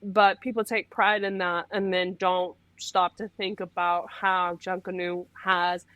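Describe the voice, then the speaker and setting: A woman's voice: fairly low pitch, a bit bright, dry recording. A young woman from the Bahamas, explaining things conversationally as a podcast interview guest. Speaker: guest, history PhD student and content creator.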